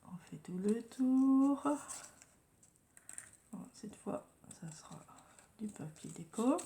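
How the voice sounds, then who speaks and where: A woman's voice: on the high side, animated, slow, dark tone, slight background noise. A woman with a head cold chatting casually through a crafting tutorial, often murmuring and whispering to herself as she works. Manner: drops to murmurs and whispers while working, repeats "voilà" often. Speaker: craft vlogger.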